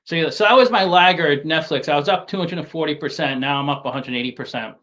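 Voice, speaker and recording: Man, softened New York accent, somewhat high-pitched, casual interview talk, hesitant, repeats words. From Staten Island, New York City. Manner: halting, repeats words and restarts. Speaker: guest investor.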